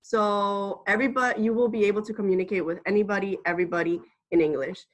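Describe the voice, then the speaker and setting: An eighteen-year-old woman from the Dominican Republic presenting a webinar as a student, steady and explanatory. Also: mid-range voice, fast talker.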